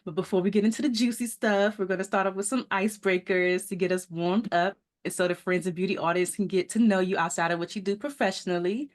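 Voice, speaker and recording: A woman's voice: mid-range voice, steady tone, quick pace, few pauses. A woman hosting a beauty podcast, upbeat and enthusiastic, chatting casually with her guest and laughing often. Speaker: podcast host. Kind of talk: casual interview chat.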